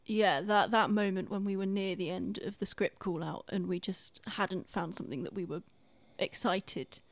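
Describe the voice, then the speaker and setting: Woman, mid-pitched, casual podcast discussion, earnest, explanatory, very little room sound. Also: normal speed, dry recording.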